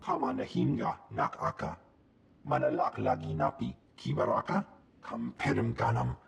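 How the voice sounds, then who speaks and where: A man voicing a gruff, gravelly male alien in a video game, speaking an invented tongue in choppy bursts. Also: medium pitch, fairly even intonation, moderate pace, full character voice. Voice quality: gravelly, creaking.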